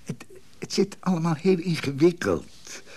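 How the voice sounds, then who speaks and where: An actor playing an evasive, indecisive, troubled man in a radio drama, speaking haltingly with a husky voice. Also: a little high, sing-song, a little mellow, exaggerated voice. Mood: uneasy, evasive. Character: evasive, indecisive, troubled man caught between two women. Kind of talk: radio drama acting, dialogue.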